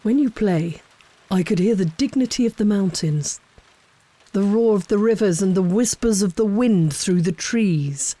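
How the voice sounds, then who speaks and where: A man acts a humble, music-loving woodcutter in a storybook tale. His voice is dark and mellow, earnest and expressive. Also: sits high, unhurried, rough recording. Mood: awed. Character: humble male woodcutter, awed music lover. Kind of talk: acted folk tale dialogue.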